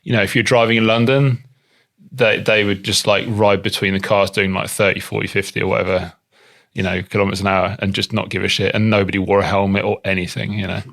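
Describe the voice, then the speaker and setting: A man's voice: fairly low pitch, little pitch movement, fast, gravelly, fairly bright tone. A man with a Norwegian accent hosting a podcast, asking loose, rambling questions with many restarts. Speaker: podcast host. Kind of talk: casual podcast interview.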